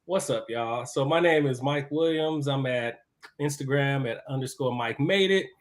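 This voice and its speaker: A man, a guest chef talking about himself in a relaxed, chatty podcast interview. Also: mid-range voice, moderate pace. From Chicago. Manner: laid-back, talkative, easy laughs.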